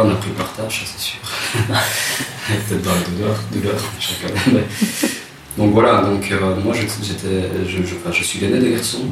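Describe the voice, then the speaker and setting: A man, telling his life story in a relaxed interview, hesitant and soft-spoken with many restarts. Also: deep voice. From Rwanda. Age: 30s. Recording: echoey room, distant mic, noisy.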